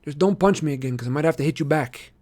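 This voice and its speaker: A man doing a wisecracking teenage boy in a storybook read-aloud, his voice pitched well above plain talk, quick and sarcastic. he sounds like a smart-mouthed kid sidekick. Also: a little mellow, lightly acted.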